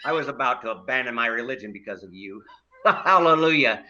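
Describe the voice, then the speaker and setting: Blustery comic neighbor acted by a man, bright forceful voice, big pitch swings, quick to bicker. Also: expressive, muffled recording. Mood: gleeful, gloating. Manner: blustery, exclamatory, profane. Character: loud, defensive, gleeful bickering male neighbor. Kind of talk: comedic stage play acting.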